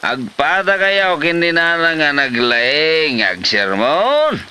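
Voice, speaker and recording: A man's voice: on the high side, sing-song, takes it slowly, bright, forceful tone, clean tone, exaggerated voice. A man playing a lazy, boastful, hard-drinking husband in a comedy drama, dismissive and cheerfully defiant. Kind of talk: Ilocano comedy drama acting.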